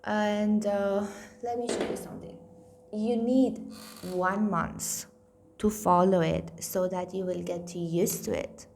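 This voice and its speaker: Blunt Indian-accented woman, slow talk. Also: medium pitch, level tone, noisy background. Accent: Indian-tinged English. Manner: candid, blunt, swears freely, self-mocking. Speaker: nutrition coach. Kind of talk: casual weight-loss vlog.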